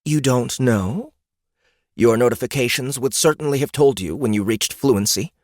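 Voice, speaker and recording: A man's voice: normal speed, a little mellow, no echo, studio quality, close to an everyday voice. Man voicing a clipped, haughty beast-woman elder. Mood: intrigued. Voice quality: clipped, precise diction, low for a beast woman. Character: female beast-folk elder, erudite, haughty, probing. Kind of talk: fantasy audiobook character acting.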